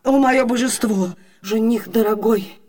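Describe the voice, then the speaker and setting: An actress playing a passionate young woman disguised as a gentleman, commanding and theatrically emotional, in a radio play. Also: fairly high pitch, a little slow. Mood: grieving, despairing. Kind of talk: Soviet radio theatre acting.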